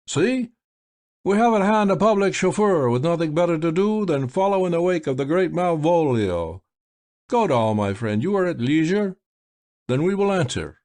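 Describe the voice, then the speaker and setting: A man's voice: a little high, lively intonation, normal speed, darkish tone, pitched above the performer's own voice, lightly acted. Man putting on a showy blind magician. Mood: exclaiming. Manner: theatrical, grand exclamations. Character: flamboyant blind male stage magician, sly.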